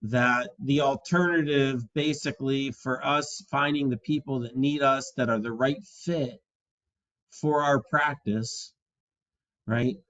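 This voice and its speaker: A man in his sixties giving an instructional talk to fellow practitioners in an earnest, deliberate, emphatic way. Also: mid-range voice, fairly even intonation, fairly bright tone. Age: 60s. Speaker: chiropractor and practice coach. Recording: dull, dry lo-fi audio.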